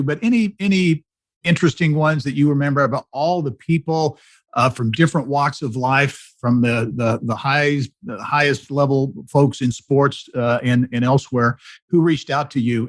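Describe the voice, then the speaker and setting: An American man hosting a conversational interview, phrasing his questions in a loose, halting way. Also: medium pitch, steady tone. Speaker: podcast host, interviewer.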